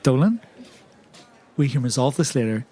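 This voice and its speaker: A man's voice: mid-range voice, big pitch swings, moderate pace, mellow, natural voice. A man playing an exasperated, frightened investigator in comic audio roleplay, sarcastic and insistent.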